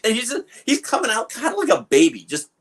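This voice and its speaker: A man's voice: extremely high pitch, huge pitch swings, quick pace, a bit bright. A man co-hosting a podcast, chatting casually about a film and riffing with plenty of fillers and opinions. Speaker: podcast co-host. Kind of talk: casual film review chat.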